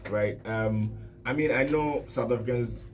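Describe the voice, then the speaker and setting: A man's voice: mid-range voice, measured pace, darkish tone, a little hiss or hum, low-quality recording. A man hosting a radio interview in a friendly, loose way, with lots of fillers and hesitations. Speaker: radio host. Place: radio studio.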